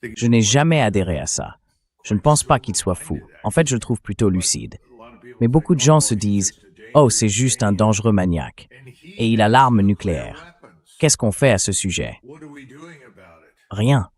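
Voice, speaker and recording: A man with a fairly low, AI-generated voice delivers political and military commentary. He speaks as an interview guest, emphatically, in short declarative statements. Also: very animated, moderate pace, pauses often, a little mellow. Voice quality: AI-generated translation voice.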